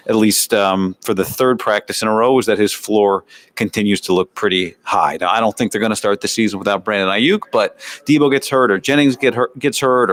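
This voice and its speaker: Low-pitched American male sports commentator. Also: a bit bright. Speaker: sports show host and reporter. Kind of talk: sports commentary, practice recap.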